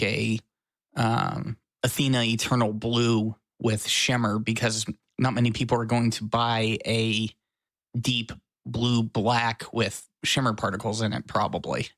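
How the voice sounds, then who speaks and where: A man's voice: mid-range voice, level tone, slow, a bit bright, no room sound. A man co-hosting a podcast, chatting casually with a joking, self-mocking manner. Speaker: podcast co-host. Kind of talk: casual chat. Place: his apartment.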